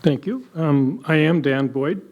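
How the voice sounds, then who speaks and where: A man's voice: a little high, a little slow, darkish tone. An older man born and raised in Canada, giving a friendly candidate speech with frequent ums. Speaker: council candidate.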